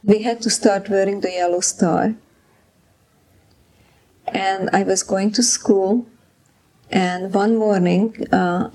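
A woman in her eighties from Czechoslovakia recalling her childhood in an interview, speaking in a measured, reflective way. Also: mid-range voice, darkish tone. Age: 80s. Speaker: interviewee, Holocaust survivor. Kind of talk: recounting memories in an interview.

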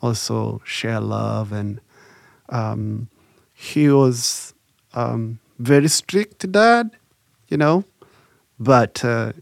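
Man, interview guest; gravelly voice, dry close sound, hesitant and thoughtful delivery. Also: mid-range voice, lively intonation, no room sound. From Rwanda. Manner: halting, reflective, many "you know" fillers. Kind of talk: recalled life story, casual.

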